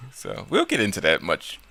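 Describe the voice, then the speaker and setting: A man's voice: on the low side, huge pitch swings, a little slow, bright, forceful tone, slight background noise. A man giving casual, unscripted commentary as a video creator, rambling and often correcting himself as he goes. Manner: rambling, self-correcting, stumbling over words.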